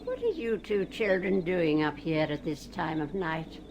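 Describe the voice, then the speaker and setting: A woman's voice: fairly low pitch, big pitch swings, measured pace, a little mellow, a little hiss or hum. An actress playing a lonely, eerie old woman in a radio drama, crooning and wheedling as she talks. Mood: probing, musing. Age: older.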